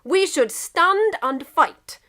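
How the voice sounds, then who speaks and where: A woman's voice: extremely high pitch, animated, slow, pushed and bright, voice pushed up, heavily acted. A woman voicing a bold, drunken Russian man with an attempted Russian accent in a story reading. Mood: defiant. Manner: drunken, declarative.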